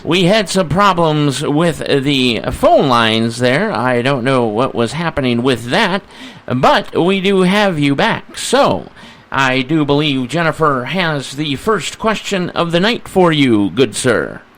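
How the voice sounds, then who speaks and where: An American man hosting a live radio interview with a deliberate, announcer-like delivery. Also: on the high side, sing-song, a bit bright. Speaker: radio talk show host.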